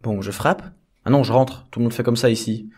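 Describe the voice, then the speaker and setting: Guy acting nervous sarcastic minion, mid pitch, rambles and swears, exasperated, audio comedy. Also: brisk, darkish tone, natural voice. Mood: rambling, uneasy. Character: flustered, sarcastic, foul-mouthed henchman clone.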